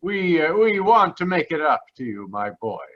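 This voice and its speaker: An actor playing an earnest, pious immigrant man with halting, solemn delivery in a radio drama. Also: a little high, big pitch swings. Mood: feigned friendliness. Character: earnest Italian immigrant man, pious, grieving, slow-spoken. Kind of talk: 1940s radio drama acting. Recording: slightly dull video-call audio.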